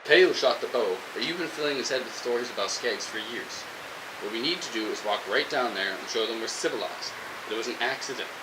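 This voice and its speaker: A man's voice: mid-range voice, a little fast, close to an everyday voice. A man playing a defiant, fed-up, hungry survivor in a post-apocalyptic audio drama, arguing with a sarcastic edge. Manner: argumentative, sarcastic. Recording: steady hiss, noisy radio-style recording.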